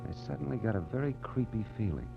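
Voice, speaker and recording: An actor playing a hard-boiled, weary private detective, narrating and talking in terse, wry wisecracks in 1940s noir radio style. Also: male voice, on the low side, expressive, fast, vocal fry. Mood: suspicious. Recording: muffled old radio recording, music behind.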